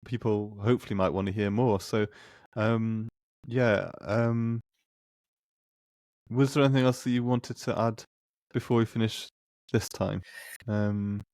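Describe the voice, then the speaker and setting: A man's voice: lowish voice, fairly even intonation, a little slow, a little mellow, no room sound, clean studio sound. A man hosting a podcast, chatting casually in a hesitant, tentative way. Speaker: podcast host. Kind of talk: casual conversation.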